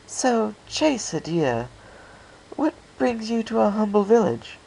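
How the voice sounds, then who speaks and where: A man's voice: sits high, big pitch swings, slow, gravelly, a little mellow, slight background noise, voice pushed up, full character voice, poor audio. A man voicing a flirtatious, refined female storybook pony with an airy, affected, purring manner. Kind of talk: storybook character voice in fan fiction reading.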